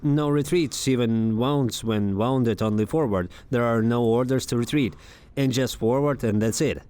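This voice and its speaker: A man, a captured soldier, answering interview questions in a halting, hesitant way. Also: mid-range voice, a little mellow, clean tone. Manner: halting, hesitant, searching for words.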